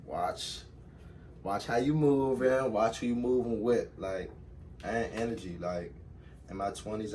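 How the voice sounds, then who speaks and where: Deep-voiced young man, noisy background. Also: darkish tone. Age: 20s. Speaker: interviewee. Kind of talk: casual chat.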